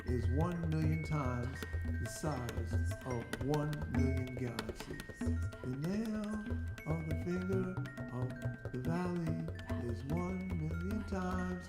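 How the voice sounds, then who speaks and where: Woman, spoken word poetry, declamatory, slow delivery, roomy echo, prominent instrumental music. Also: low-pitched. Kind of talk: poetic spoken word over music, chanted in places. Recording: echoey room with loud music behind. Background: loud live instrumental music.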